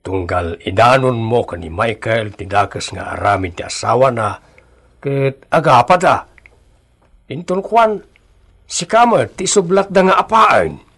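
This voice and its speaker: Gossipy husband, animated pitch swings, earnest, opinionated, conversational radio drama. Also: male voice, a little slow, husky, exaggerated voice. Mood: disapproving.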